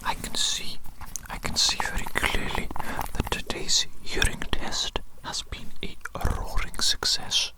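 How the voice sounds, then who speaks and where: A woman doing a whispered ASMR roleplay as a smug, doting evil genius doctor, breathy and soothing, often repeating her phrases. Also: a little slow, hardly any pauses, low-quality recording. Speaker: ASMR performer.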